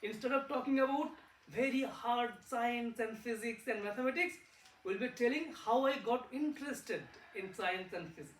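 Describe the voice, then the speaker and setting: A man's voice: extremely high pitch, normal speed, fairly bright tone. Indian-accented male researcher, friendly classroom talk, echoey room, far mic. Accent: Indian English. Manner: simple words, asks the kids questions, repeats words for emphasis. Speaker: physics researcher giving a talk to children.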